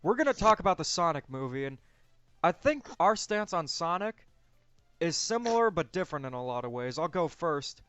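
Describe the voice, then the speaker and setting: A man's voice: fairly high pitch, sing-song, normal speed, a bit bright, clear, resonant tone, lo-fi sound. A young man speaking as a discussion host in a casual, conversational style. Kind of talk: casual chat.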